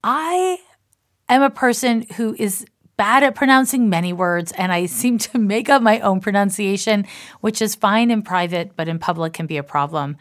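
A middle-aged woman from Canada whose accent blends Canadian and American sounds. She talks easily and at length, with a mid-range voice and a precise, particular way of shaping her words. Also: mid-range voice. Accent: mix of Canadian and American. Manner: particular, deliberate enunciation; chatty, self-described talker. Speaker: astrologer and author. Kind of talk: reflective personal monologue.

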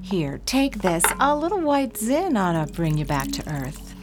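A woman's voice: mid-range voice, very animated, measured pace, noisy background. An actress playing a breezy, folksy woman friend in an audio drama, casual and teasing. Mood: breezy, soothing.

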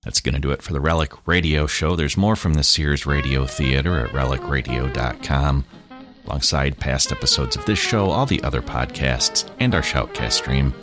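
A man's voice: very deep voice, moderate pace. A man hosting a podcast, reading a scripted sign-off. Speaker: podcast host. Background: guitar music.

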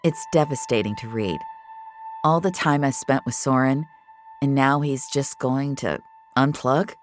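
A woman's voice: rumbling low, unhurried, soft background music. A woman narrating scripted fiction in the first person, in a confessional, intimate storytelling manner. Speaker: narrator of an audio fiction series.

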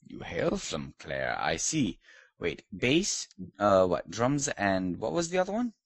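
A man's voice: on the low side, wildly swooping pitch, slow pace, creaky, husky, dry recording, studio quality, full character voice. A man playing a pompous British lord who hosts a comedic podcast interview, in a put-on British accent with a mock-posh, teasing manner. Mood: haughty, mock-posh. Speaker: host.

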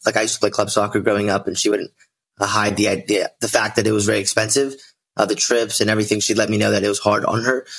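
Male, twenty, casual interview guest, brisk delivery, lower-pitched voice. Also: fairly bright tone, low-quality recording. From United States. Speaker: podcast guest, real estate investor. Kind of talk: casual conversational storytelling.

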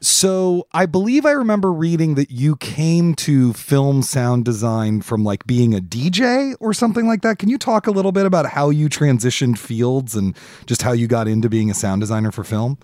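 Male podcast interviewer, mid-pitched, informal and conversational, very dry recording. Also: normal speed, few pauses, darkish tone, no echo.

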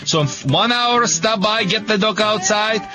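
A man's voice: high-pitched, a little slow. A man, an English speaker, giving blunt, emphatic, repetitive advice on a call-in radio show. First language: not English. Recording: slightly dull radio sound with music.

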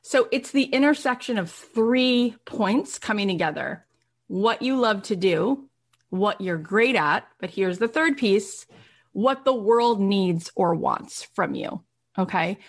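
A woman's voice: medium pitch, lively intonation, takes it slowly. A woman speaking as a motivational coach to an audience, emphasizing and repeating key phrases and asking rhetorical questions. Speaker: podcast host. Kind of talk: motivational workshop talk to an audience.

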